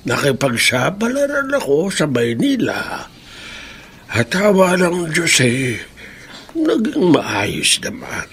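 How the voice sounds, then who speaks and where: An actor playing a wistful, reflective man in his eighties from Bicol in a Filipino radio drama, speaking in a halting, musing way. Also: a little high, wildly swooping pitch, fairly bright tone, slightly rough, full character voice. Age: 80s. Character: wistful, reflective 87-year-old grandfather from Bicol.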